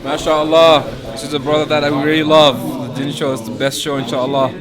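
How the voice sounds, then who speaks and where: A man offering slow, warm praise of the host outdoors in a city. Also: fairly high pitch, flat delivery. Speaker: community member. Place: outdoors in a city among a crowd. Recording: loud crowd noise behind.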